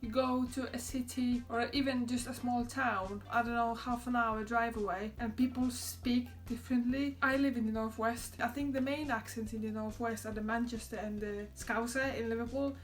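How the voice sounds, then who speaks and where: A young woman from Poland with a Polish accent, chatting to camera in a relaxed vlog and laughing often. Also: moderate pace, few pauses. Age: young adult. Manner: chatty, laughs often, quotes others in a higher voice. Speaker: vlogger. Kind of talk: casual chat to camera.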